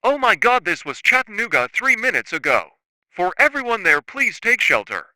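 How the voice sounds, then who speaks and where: TV weatherman: a man as an urgent forecaster, high, tense and crisp, no room echo. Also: sing-song, normal speed, bright, forceful tone, heavily acted. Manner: urgent, emphatic broadcasting. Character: alarmed male TV weatherman.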